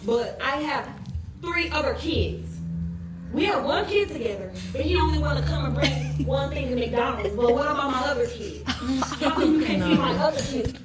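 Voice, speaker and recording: Ranting woman, very high, noisy echoey clip. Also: expressive, moderate pace. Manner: loud, shouting at times, laughing while angry. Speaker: woman in a viral video. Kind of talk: heated rant to camera. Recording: noisy, echoey phone video from far off.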